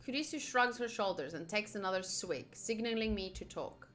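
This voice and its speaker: A woman's voice: medium pitch, lively intonation, moderate pace, fairly bright tone. A woman livestreaming a game, chatting casually and reading game text aloud as she reacts to it and to viewers. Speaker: streamer playing a visual novel. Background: game music.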